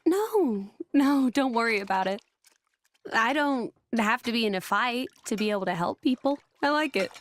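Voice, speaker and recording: A woman playing a gentle, self-doubting young magical companion in a video game, soft-spoken, modest and hesitant. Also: a little high, lively intonation, normal speed, lightly acted. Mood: flustered, modest. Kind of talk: video game cutscene acting. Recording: music behind the voice. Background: loud instrumental music with strings.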